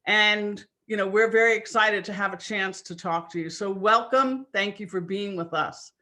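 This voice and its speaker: A man's voice: high-pitched, moderate pace, sharp tone. A man hosting a live webinar series, upbeat and chatty, thanking sponsors and introducing his guest over a video call. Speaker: host of business webinar series.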